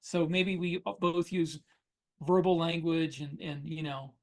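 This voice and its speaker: Male, middle-aged, high-set voice, casual podcast co-host, explanatory storyteller. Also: fairly even intonation, takes it slowly. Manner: anecdotal, explanatory. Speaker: podcast co-host and science fiction author. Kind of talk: casual conversational discussion.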